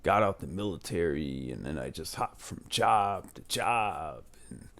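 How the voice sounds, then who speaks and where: Low gravelly young man giving advice. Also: expressive, a little slow, a bit bright. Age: 20s. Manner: candid, reflective, says "man" often. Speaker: advice channel host. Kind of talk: casual advice monologue.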